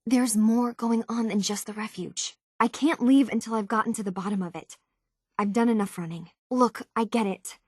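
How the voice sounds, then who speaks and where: A woman's voice: fairly high pitch, fairly even intonation, moderate pace, no room sound, studio quality, natural voice. An actress playing an earnest young woman tinkerer searching for her identity in a video game, speaking in a natural, slightly hesitant conversational way. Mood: determined, troubled. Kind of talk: naturalistic game dialogue acting.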